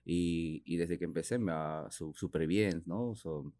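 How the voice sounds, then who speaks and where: A man's voice: deep voice, moderate pace, dark tone, no echo. A man from Peru, a native Spanish speaker with a Peruvian accent, chatting casually and hesitantly, searching for words. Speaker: guest, tattoo artist. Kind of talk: casual podcast chat.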